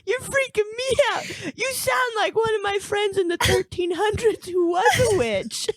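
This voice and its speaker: A woman voicing an ancient, excitable old woman on a comedy podcast, squawky, repeating herself and prone to giggling. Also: extremely high pitch, measured pace, no echo, full character voice. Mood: giggly, exasperated. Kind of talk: improvised comedy podcast character.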